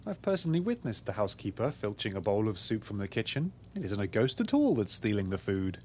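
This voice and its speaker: A man voicing an earnest pig detective in a game, reasoning through deductions in a formal, deliberate way. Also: on the low side, very animated, a little mellow, slight background noise. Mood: earnest, deductive. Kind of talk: scripted game dialogue.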